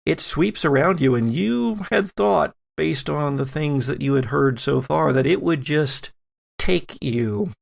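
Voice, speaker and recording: Male game master for horror tabletop play: slow, creaky, suspenseful narration with deliberate dramatic pauses. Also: fairly high pitch, lively intonation.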